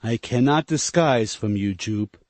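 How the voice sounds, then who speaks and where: A man's voice: medium pitch, a little mellow, dry recording, lightly acted. A man doing a stern, pompous old mill-owner. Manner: stiff, lecturing, measured. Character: stern pompous older male mill-owner, coldly practical.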